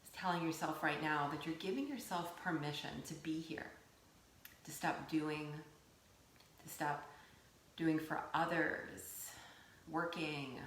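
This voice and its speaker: A woman teaching yoga nidra, giving gentle guided meditation instruction in a soothing, unhurried way with pauses. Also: sits low. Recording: roomy echo, faint background noise.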